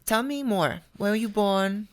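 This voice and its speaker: A woman's voice: medium pitch, normal speed. Woman podcast host, upbeat and welcoming, asks interview questions, studio mic. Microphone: studio microphone.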